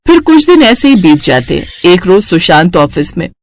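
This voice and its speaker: A woman reading scripted narration for an animated moral story. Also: on the low side, normal speed, faint music behind.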